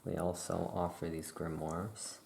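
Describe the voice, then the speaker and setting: Very low, creaky, soft-spoken woman. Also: flat delivery, a little slow, slight background noise. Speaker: ASMR roleplayer playing a witch shopkeeper. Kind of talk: soft-spoken ASMR shop roleplay.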